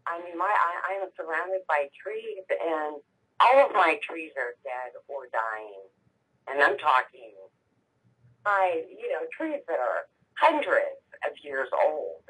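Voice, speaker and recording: A woman calling in to a radio show, with a congested, husky voice, talking in a halting, emotional way. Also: sits low, very animated, fairly bright tone. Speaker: caller. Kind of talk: call-in radio conversation. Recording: phone line.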